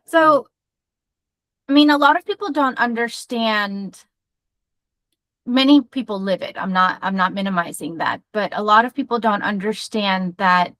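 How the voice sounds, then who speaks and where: A woman's voice: medium pitch, measured pace, a bit bright, dry recording. A woman therapist hosting a podcast, talking in an upbeat, chatty way over a video call. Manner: upbeat, chatty, laughs easily. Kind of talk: casual interview chat.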